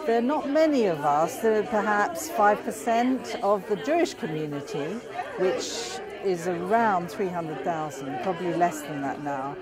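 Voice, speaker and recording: Woman explaining stuff in an interview, slow n hesitant, really noisy crowd behind her. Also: fairly low pitch, lively intonation. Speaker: interviewee explaining community history. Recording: loud crowd chatter in busy hall. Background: crowd at a party.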